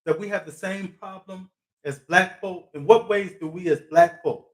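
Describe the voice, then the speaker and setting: A man's voice: sits high, normal speed. A man hosting a live talk show, interviewing a guest in a thoughtful way with frequent fillers. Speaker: host.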